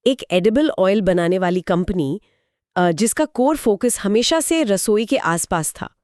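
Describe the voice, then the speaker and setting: A woman's voice: medium pitch, animated, unhurried, a little mellow, dry recording. Inquisitive woman co-hosting, close dry sound. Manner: inquisitive, mixes English terms into speech. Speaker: co-host of a financial explainer discussion. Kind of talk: conversational explainer dialogue.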